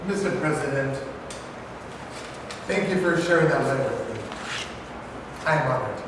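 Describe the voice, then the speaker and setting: A man's voice: medium pitch, lively intonation, moderate pace, lightly acted. A man in a staged reading, playing a formal cabinet secretary. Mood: quiet, deferential. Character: formal, deferential but argumentative male cabinet secretary. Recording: distant, very echoey noisy hall recording.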